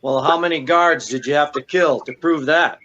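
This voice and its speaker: A man playing a wry, unruffled married gentleman detective in a 1940s radio drama, with a dry delivery. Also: on the high side, normal speed. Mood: wry, calm. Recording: dull, noisy amateur recording.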